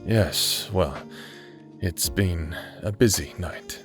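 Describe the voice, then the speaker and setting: A man playing a sardonic, world-weary private investigator in a fantasy noir audio drama, with a dry, formal, deadpan delivery. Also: low voice, drawn-out words. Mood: dry, unbothered. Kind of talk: noir audio drama acting with scripted monologue. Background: background music.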